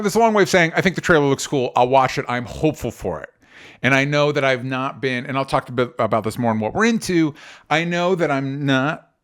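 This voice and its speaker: A man's voice: medium pitch, a bit bright. Rambling, opinionated male podcast host. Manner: rambling, opinionated, self-correcting, casual swearing. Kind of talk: casual pop culture discussion.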